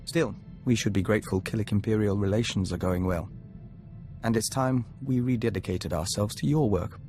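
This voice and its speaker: An actor playing a serene, earnest diplomat of an insect hive mind in a video game, speaking formally and solemnly. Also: male voice, lowish voice, normal speed, dark tone, faint music behind, lightly acted. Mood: hopeful, earnest.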